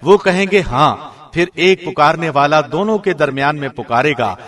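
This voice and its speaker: A man reading a scripture translation aloud from a script in a formal, measured way, as a radio presenter would. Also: fairly high pitch, expressive, a bit bright. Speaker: translation reader, radio host.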